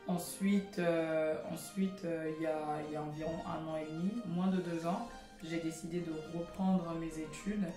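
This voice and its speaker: A woman's voice: low voice, steady tone, moderate pace, roomy sound, far from the mic, over music. A woman in her thirties, a guest in a casual interview, talking hesitantly with many fillers. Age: 30s.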